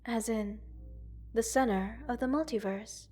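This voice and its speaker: A woman voicing a gentle, curious alien scientist in an ASMR roleplay, speaking formally, precisely and reassuringly to the listener. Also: fairly high pitch, steady tone, measured pace. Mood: apologetic, gentle. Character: gentle, curious multi-world alien scientist studying a captive human.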